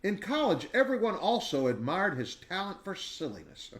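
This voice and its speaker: A man reading a children's biography aloud in an expressive storybook style, with dramatic emphasis and deliberate pauses. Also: sits high.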